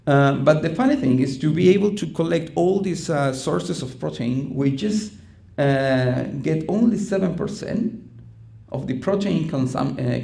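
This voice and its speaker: Man, Chilean Spanish accent, middle-aged, gravelly, somewhat high voice, halting conference talk. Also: mellow. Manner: halting, many ums and restarts. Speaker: conference presenter. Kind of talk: conference presentation. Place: conference room.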